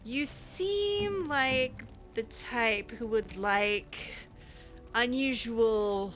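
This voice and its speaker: A woman improvising in-character dialogue as she plays a roleplaying game, halting and repeating words. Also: fairly high pitch, a bit bright, soft background music.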